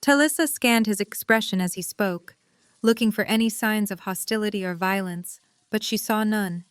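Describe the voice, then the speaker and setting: A woman's voice: medium pitch, normal speed, clear, resonant tone, dry recording. A woman reading scripted story narration in the third person.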